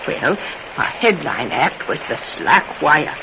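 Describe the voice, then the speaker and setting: A woman's voice: low voice, sing-song, slow pace, exaggerated voice. A woman playing a sprightly, independent old widow, chatty and folksy in an old-time radio drama. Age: older. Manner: folksy, chatty, muttering to herself. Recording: noisy, muffled old radio recording.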